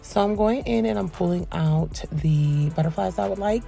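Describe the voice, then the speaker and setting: A woman giving a soft-spoken voice-over for a nail tutorial, polite and relaxed, rambling with frequent ums. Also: medium pitch, normal speed, vocal fry. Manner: soft, quiet, polite phone-etiquette voice. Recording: voice-over with faint background music.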